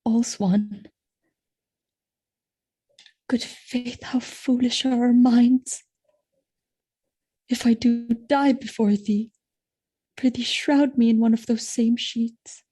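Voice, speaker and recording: A woman playing a loyal, innocent, distressed noble wife in a Shakespearean audio drama, speaking in an earnest, pleading, measured way. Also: fairly high pitch, steady tone, warm tone, breathy edge. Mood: sad resignation.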